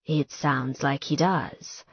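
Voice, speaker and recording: A woman's voice: slow pace, breathy edge, no room sound, muffled recording, exaggerated voice. A woman voicing a blunt, jealous, protective man, the hero of a romance audiobook. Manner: blunt, low-key. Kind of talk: audiobook character dialogue.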